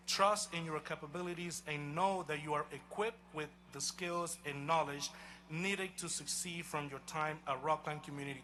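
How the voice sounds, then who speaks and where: A man with a Honduran Spanish accent speaks to a stadium of graduates with measured, emphatic delivery. His voice sits a little high and rings very bright. He is a college president giving a commencement address outdoors. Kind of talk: commencement speech.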